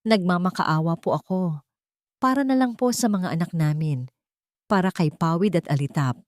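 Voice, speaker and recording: A woman's voice: lowish voice, normal speed, darkish tone, dry recording, clean studio sound, natural voice. A woman narrator voicing a desperate young wife and mother who pleads tearfully in a story. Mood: desperate pleading. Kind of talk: storybook drama dialogue.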